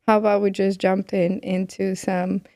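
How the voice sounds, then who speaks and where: Host: woman from Russia, mid-pitched with lots of vocal fry, dry close sound, chatty and encouraging. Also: flat delivery, moderate pace, warm tone, no room sound. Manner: chatty, encouraging, frequent backchannels. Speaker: podcast host. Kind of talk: casual interview questions.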